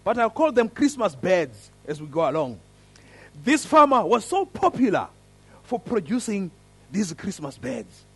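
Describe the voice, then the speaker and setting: A man with an African accent leading a church service, praying and then telling a story in an earnest, lively way. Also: high voice, a little slow, husky. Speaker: preacher. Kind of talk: sermon with prayer and story.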